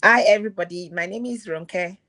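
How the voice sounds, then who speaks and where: A woman from Nigeria introducing herself calmly and quietly on a live panel. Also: mid-range voice, brisk, creaky. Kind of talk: casual live-stream chat.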